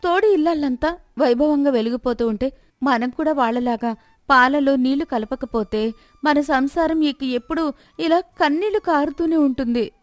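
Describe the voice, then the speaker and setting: A woman's voice: sits high, moderate pace, no echo, voice pushed up, lightly acted. A woman voicing a poor, discontented village wife who complains and insistently nags her husband in a folk tale. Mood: insistent, bitter.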